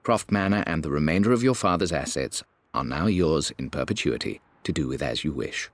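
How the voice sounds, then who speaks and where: Very deep, formal older British gentleman. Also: animated, no echo. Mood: stiff, resigned. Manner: formal, stiff, measured. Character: stiff, formal older British uncle, aristocratic, conceding. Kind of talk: letter voice-over in a game cutscene.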